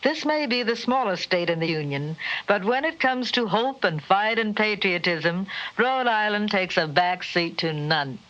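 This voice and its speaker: A woman's voice: medium pitch, animated, a little slow, hardly any pauses, slight background noise. A woman reading a scripted promotional narration in a vintage radio spot. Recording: dull vintage radio, loud music behind.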